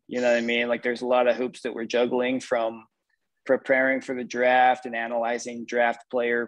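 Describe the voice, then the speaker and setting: Middle-aged man, guest on a call, reflective and measured, even monotone voice, dry close sound. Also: medium pitch, no echo, poor audio. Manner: measured, reflective, many "you know" fillers. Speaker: sports performance executive, guest. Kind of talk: casual interview over a call.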